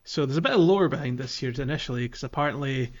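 Low-voiced man, slightly muffled podcast audio. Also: fast. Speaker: podcast co-host. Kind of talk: casual chat recapping a video game.

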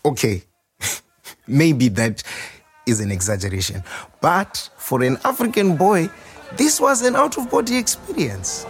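An actor playing a proud, warm restaurant owner reminiscing in a theatrical storytelling monologue. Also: male voice, a little high. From Zimbabwe. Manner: animated, chuckling, theatrical storyteller. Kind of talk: dramatised monologue, storytelling to a customer.

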